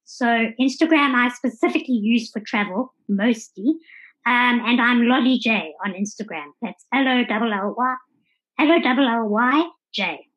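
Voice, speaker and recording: A woman's voice: on the high side, measured pace, a bit bright, husky. A woman with a South African accent giving casual, conversational answers as an interview guest. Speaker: podcast guest, traveller. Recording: dull, lo-fi audio.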